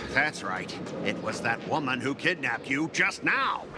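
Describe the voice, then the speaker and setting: A man's voice: mid-range voice, very animated, unhurried. A man voicing a fawning, melodramatic corporate underling in a video game, with an obsequious, bombastic, exaggerated manner. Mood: eager, aggrieved. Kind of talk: video game character dialogue. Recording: clear music behind the voice. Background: game music.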